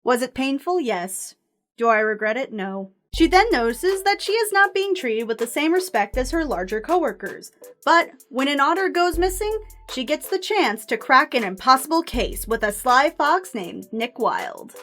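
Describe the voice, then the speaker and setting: A woman's voice: high voice, sing-song, moderate pace, fairly bright tone, soft background music. A woman hosting a scripted video essay, with an informal, opinionated and playfully emphatic manner.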